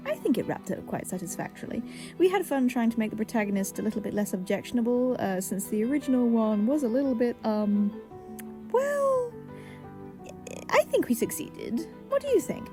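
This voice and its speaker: Lively, high-pitched chatty woman host. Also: normal speed. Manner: chatty, self-mocking, enthusiastic. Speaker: podcast host and producer. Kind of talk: informal outro with credits. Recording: music clearly behind the voice.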